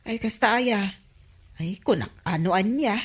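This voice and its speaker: A woman playing an excitable, blunt village neighbour in an Ilocano radio comedy drama, exclamatory and questioning, with an Ilocano accent. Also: mid-range voice, measured pace, breathy edge. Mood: astonished.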